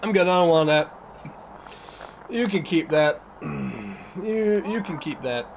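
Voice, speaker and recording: A man's voice: a little high, animated, takes it slowly, creaky. Guy doing reaction commentary, voice jumps all over, kinda jittery, repeats himself, noisy background. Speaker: host of a video reaction channel.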